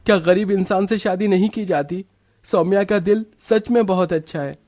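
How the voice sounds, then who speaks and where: A man's voice: high voice, normal speed, darkish tone, no echo, studio quality, lightly acted. A man playing an earnest young newlywed husband in a dramatised moral story, speaking insistently. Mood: earnest, firm.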